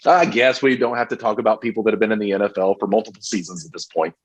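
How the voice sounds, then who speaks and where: A man's voice: lowish voice, very fast, slightly rough. A man co-hosting a casual sports podcast, chatty and wry, in an easygoing manner. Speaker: podcast co-host. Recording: dull lo-fi mic.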